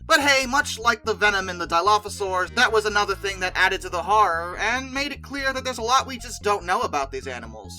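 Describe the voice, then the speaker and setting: Animated, bright-voiced video commentator. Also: mid-range voice, fairly quick, hardly any pauses, faint music behind, rough recording. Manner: conversational, exasperated asides, emphatic. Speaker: video host. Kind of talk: casual opinionated commentary on film dinosaurs.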